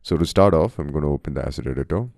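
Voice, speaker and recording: A man from India with a cold, narrating a friendly software tutorial and calling his viewers guys. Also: extremely low pitch, racing, gravelly, no echo, studio quality. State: has a cold, voice deeper than usual. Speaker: software instructor. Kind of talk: screen-recorded tutorial narration.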